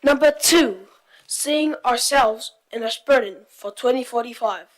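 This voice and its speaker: Young woman, from Tonga, high-pitched voice, youth presenter, reading prepared points aloud. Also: unhurried, rough recording.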